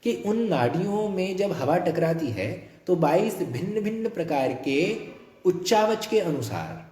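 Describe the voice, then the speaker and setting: A man lecturing on music theory into a phone held close, his throat worn from a day of classes. His voice sits a bit high and moves a lot in pitch as he explains. The room adds a noticeable echo. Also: sing-song, dark tone. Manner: explains slowly, sings scale notes to demonstrate pitch. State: tired throat. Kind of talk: academic lecture with sung examples. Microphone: phone about a foot away.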